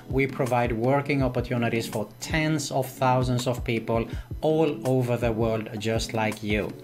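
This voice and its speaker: A man presents an online how-to in a medium-pitched voice. He has a salesy, repetitive way of walking through each step. Music plays clearly behind him. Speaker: video host. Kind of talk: scripted-sounding online tutorial narration. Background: background music.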